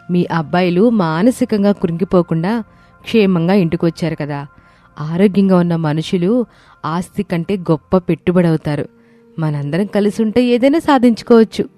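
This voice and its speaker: A woman playing a calm, encouraging village daughter-in-law in a story. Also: lowish voice, normal speed, soft background music, close to an everyday voice. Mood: reassuring.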